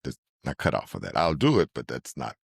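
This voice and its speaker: A man hosting a podcast interview with a deep bass voice, relaxed and chatty, laughing often between stories. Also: a little fast, gravelly, breathy edge, no room sound, clean studio sound. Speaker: podcast host. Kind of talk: casual interview chat.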